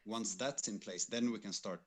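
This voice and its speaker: A man with a fairly low voice talks through his work on a livestream. He explains things in a measured, methodical way, as a programmer would. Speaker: game programmer on a development team.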